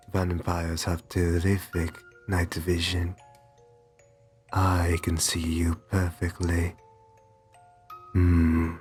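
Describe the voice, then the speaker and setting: Guy doing a kind posh vampire master for asmr, really deep and slow, doting, music behind. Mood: soothing, hungry. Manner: gentle, reassuring, genteel endearments. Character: kind, doting aristocratic male vampire, new owner. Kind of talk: ASMR roleplay, scripted intimate monologue.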